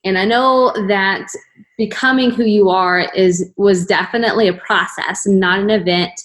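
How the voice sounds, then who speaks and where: A woman's voice: medium pitch, fairly even intonation, normal speed, a bit bright. A woman hosting a podcast interview in an informal, effusive, chatty style. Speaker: podcast host.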